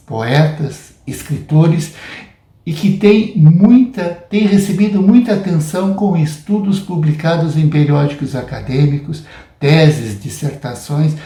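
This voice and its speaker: A man with a Brazilian Portuguese accent giving a prepared academic lecture in a deliberate, measured, didactic way. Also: on the high side, warm tone. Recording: roomy, echoing sound.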